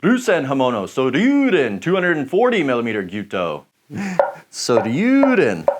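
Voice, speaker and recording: A man giving a relaxed, chatty review, rambling with lots of fillers as he chops. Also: medium pitch, moderate pace, heavy background noise. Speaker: knife shop reviewer. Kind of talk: casual product review while chopping.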